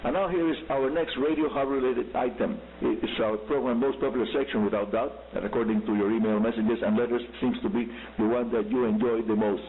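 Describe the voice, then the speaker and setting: A man's voice: medium pitch, steady tone, brisk, few pauses. Cuban man on hissy narrowband shortwave radio. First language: Spanish. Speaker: radio show host. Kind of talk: scripted radio presentation for amateur radio listeners. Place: radio broadcast picked up on shortwave.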